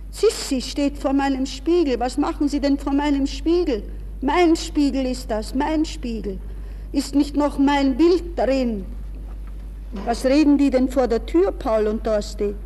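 High-voiced distraught young woman, slow and dreamy. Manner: hushed, dreamy, intense, repeating phrases. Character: distraught young woman in feverish inner monologue, feigning unconsciousness. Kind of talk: 1960s German radio play monologue. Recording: reverberant 1960s radio recording with hiss.

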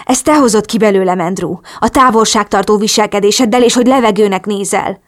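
A woman's voice: medium pitch, lightly acted. A woman voicing an emotional young woman in love in a storybook, agitated and indignant. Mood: reproachful.

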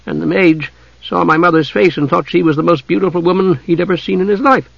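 Storybook young woman, played by a man: raised pitch, proud, evasive, slightly dull recording. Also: fairly even intonation, quick pace, creaky, dry recording. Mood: storytelling. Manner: hesitant, defensive, offhand boasting. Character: haughty, evasive young woman of mixed human blood.

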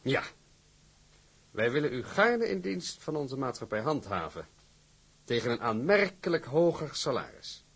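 An actor playing a suave, condescending shipping director in a Dutch radio drama, speaking in a formal, patronising way. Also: male voice, medium pitch, very animated, measured pace, long pauses, a bit bright, breathy edge, full character voice. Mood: courteous.

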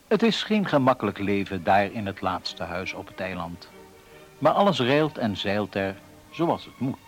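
A man narrating a scripted radio drama in a deliberate storytelling manner. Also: fairly low pitch, sing-song, faint music behind.

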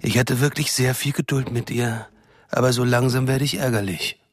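An actor playing a cruel, condescending shapeshifter villain in a radio drama, taunting and threatening in a smooth-talking way. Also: male voice, mid-range voice, steady tone, normal speed, natural voice. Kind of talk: German radio drama acting.

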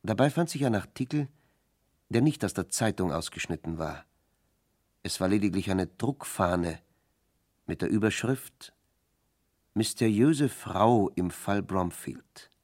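A man's voice: fairly low pitch, animated, unhurried, pauses often, darkish tone. A man reading scripted narration for a German radio crime drama.

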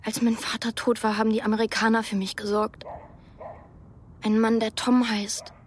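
A young Afghan woman who has survived terrible harm, in a radio play. Her voice is breathy and fairly high. She speaks plainly and haltingly, in small simple phrases. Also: little pitch movement. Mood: wounded, matter-of-fact. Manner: short, simple, halting sentences. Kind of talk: radio drama acting.

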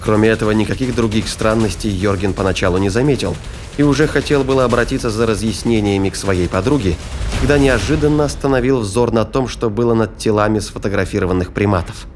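Casting: male narrator for scripted sci-fi thriller drama, fairly low register, over prominent music. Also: quick pace.

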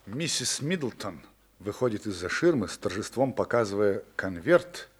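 A man's voice: lowish voice, very animated, normal speed. A man acting as narrator of a radio drama, reading stage directions as scripted narration. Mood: neutral. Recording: faint background noise.

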